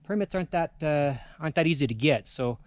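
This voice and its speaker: A man talking casually to camera outdoors in a halting way, searching for his words. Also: a little high, animated, a little mellow, dry recording. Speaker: amateur radio hiker hosting a summit video. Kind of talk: casual vlog talk to camera. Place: outdoors on a rocky mountain summit.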